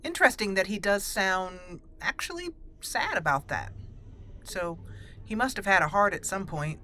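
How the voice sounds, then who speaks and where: A woman's voice: mid-range voice, animated, moderate pace, bright, forceful tone, slight background noise. A woman hosting a gaming channel, musing and thinking aloud as she comments on her game.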